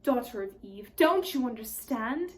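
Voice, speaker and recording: A woman voicing a weepy, guilt-ridden male fawn in a storybook, with sobbing, drawn-out, self-reproaching speech. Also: a little high, animated. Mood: anguished. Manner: sobbing, wailing, drawn-out words.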